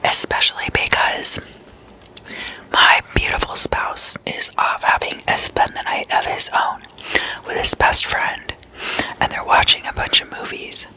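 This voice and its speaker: An ASMR host whispering in an intimate slumber-party chat, addressing listeners as guests and softly repeating words. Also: unhurried. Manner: repeats single words in soft trailing echoes.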